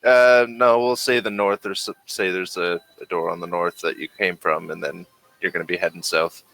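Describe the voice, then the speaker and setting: A man's voice: low voice, little pitch movement, moderate pace, gravelly, a bit bright, no echo, low-quality recording. A man acting as game master, talking casually through an online tabletop role-playing session.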